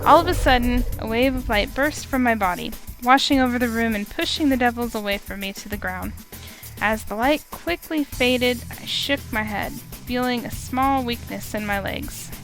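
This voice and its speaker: A woman narrating a visual novel out loud in a fairly high voice. Her reading is expressive, broken up by dry side comments. Soft game music plays underneath. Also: unhurried. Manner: expressive narration with wry asides. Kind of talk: dramatic reading of game text.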